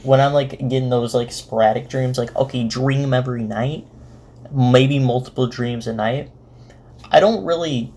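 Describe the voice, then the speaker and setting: A man's voice: medium pitch, fairly even intonation, normal speed, mellow. A man giving casual, off-the-cuff reaction commentary, rambling and repeating his words. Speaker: reaction host.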